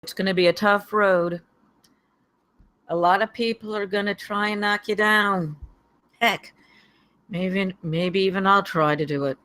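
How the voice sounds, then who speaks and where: A man playing a serious, demanding but guardedly supportive executive in a screenplay table read, measured and cautioning. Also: sits high, steady tone, bright, forceful tone, clear, resonant tone.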